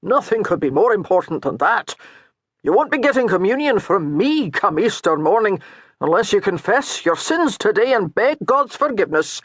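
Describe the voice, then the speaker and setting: A man's voice: sits high, sing-song, moderate pace, a bit bright, no room sound, pitched above the performer's own voice, heavily acted. A man voicing a dour, mocking Scottish priest with a thick Scottish brogue. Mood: scolding. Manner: baiting, stern, mocking.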